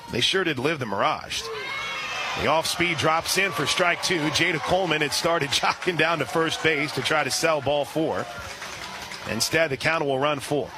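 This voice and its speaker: A man calling a softball game live on radio, chatty and excitable, slipping quick asides between pitches. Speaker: radio play-by-play announcer. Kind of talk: live radio sports commentary. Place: outdoor ballpark press area.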